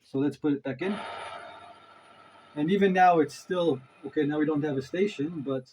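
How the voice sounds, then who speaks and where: A man's voice: fairly high pitch, normal speed, a little mellow, a little hiss or hum, poor audio. A man giving a casual hands-on gear review, thinking aloud in an informal way with emphatic stresses. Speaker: reviewer of modular synth gear.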